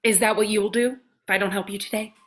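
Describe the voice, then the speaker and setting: A woman's voice: mid-range voice, fairly even intonation, fast talker, a bit bright, close to an everyday voice. A woman playing a stern, mysterious guardian of a shapeshifter, solemn and commanding, in a comedy horror reading. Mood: defiant. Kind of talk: comedic horror script table read.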